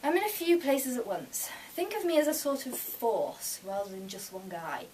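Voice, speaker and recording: A woman's voice: fairly high pitch, very animated, moderate pace, darkish tone, pitched above the performer's own voice. A woman voicing a casual, matter-of-fact man who is Death, in a live story reading. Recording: distant mic, noisy room ambience.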